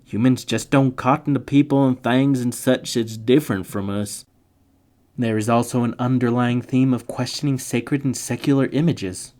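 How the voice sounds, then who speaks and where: A man's voice: medium pitch, fairly even intonation, normal speed, a little mellow. A man reading a scripted narration in a playful, tongue-in-cheek way, slipping slangy comic asides into it. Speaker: narrator of literature summary videos.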